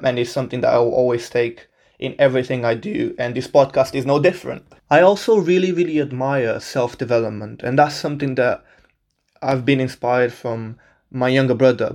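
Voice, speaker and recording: A man's voice: medium pitch, a little mellow. Upbeat young man hosting a podcast. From Portugal. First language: Portuguese. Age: 20s. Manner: upbeat, chatty, self-deprecating with laughs. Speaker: podcast host. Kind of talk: casual solo podcast introduction.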